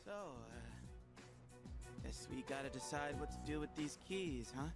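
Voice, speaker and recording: A man's voice: mid-range voice, lively intonation, measured pace. An actor playing a casual, hesitant young man in an anime game, speaking tentatively. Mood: uneasy, tentative. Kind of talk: game voice acting. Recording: loud music under game dialogue.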